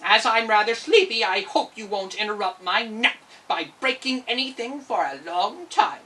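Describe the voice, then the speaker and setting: A woman's voice: fairly high pitch, animated, moderate pace, sharp tone, far from the mic. A woman voicing a stern, threatening male giant who gives bossy orders in a storybook. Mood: sleepy threat.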